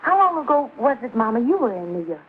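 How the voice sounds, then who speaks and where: Casting: starry-eyed young fiancée, eager, high lilting voice, thin vintage sound. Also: female voice, very animated, fast talker, breathy edge. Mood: gushing. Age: young adult. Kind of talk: 1950s American sitcom acting. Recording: tinny vintage television soundtrack.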